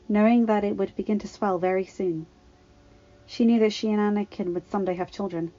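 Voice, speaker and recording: A woman's voice: medium pitch, warm tone, highs cut off. A woman reading fan fiction aloud as a scripted narration, now and then stumbling over a word. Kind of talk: scripted story narration with character voices.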